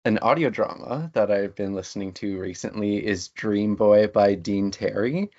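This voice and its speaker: A man's voice: on the low side, normal speed, mellow. A man chatting casually as a guest in an interview, on dull, lo-fi audio. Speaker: interview guest, writer and lecturer.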